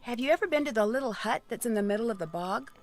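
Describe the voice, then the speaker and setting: A woman's voice: on the high side, expressive, fast talker, darkish tone, lightly acted. A woman acting an inquisitive young detective in a video game, direct and polite in her questioning. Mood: curious, polite. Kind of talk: scripted game dialogue.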